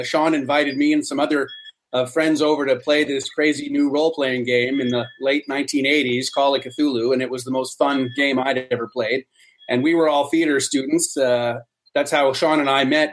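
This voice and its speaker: A man's voice: medium pitch, slight background noise, rough recording. A middle-aged man reminiscing casually as an interview guest.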